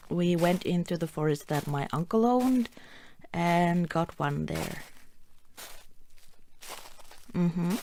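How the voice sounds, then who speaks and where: A woman with a Norwegian accent playing a cheerful, affectionate girlfriend in an ASMR roleplay, soft-spoken, chatty and a little hesitant. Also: fairly low pitch, slight background noise.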